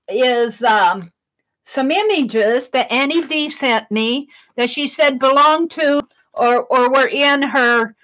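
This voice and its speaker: A middle-aged woman talks through her art livestream in a loud, projected voice, thinking aloud and chatting with viewers. Her voice sits high and bright, and she speaks at an easy, unhurried pace. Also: rough recording. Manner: chatty, thinks aloud, reads chat comments. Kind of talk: casual livestream chat while crafting.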